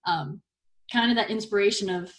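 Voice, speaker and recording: A woman's voice: medium pitch, normal speed. An upbeat, chatty woman from Texas who laughs easily, speaking casually as an interview guest on a sports podcast. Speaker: podcast guest, baseball broadcaster.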